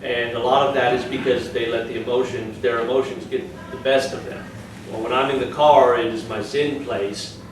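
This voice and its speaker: A man, a race driver, giving a steady, matter-of-fact talk to a club audience. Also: medium pitch, moderate pace. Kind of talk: presentation to a club. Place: meeting room. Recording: echoey room, distant mic, noisy.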